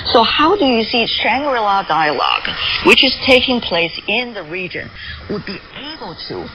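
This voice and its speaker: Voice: mid-range voice, very animated. Formal interviewer on muffled, noisy radio. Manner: formal, deliberate, long-winded questioning. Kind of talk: formal news interview questions. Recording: dull lo-fi radio relay with static noise.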